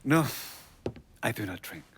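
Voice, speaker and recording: An actor playing a cold, formal, sadistic gangster with an Italian accent and deliberate phrasing in a video game cutscene. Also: male voice, medium pitch, big pitch swings, noisy background.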